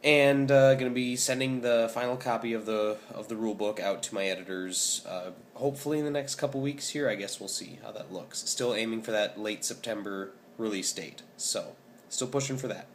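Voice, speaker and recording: Hoarse young man vlogging casually. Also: lowish voice, normal speed, slight background noise. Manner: rambling, many ums and restarts. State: hoarse, scratchy voice. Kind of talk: casual vlog update.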